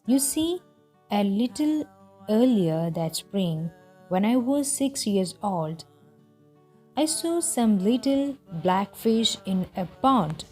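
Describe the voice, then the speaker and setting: A woman narrates a storybook read-aloud for language learners. She reads slowly and deliberately, with clear phrasing and a mid-range pitch. Soft music plays faintly behind her voice. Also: sing-song.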